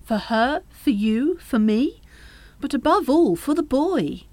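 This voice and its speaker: An actress playing a practical, persuasive French mother of a grown daughter in a radio play, brisk and pressing. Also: high-pitched, animated, a little mellow, no room sound. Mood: urgent, persuasive.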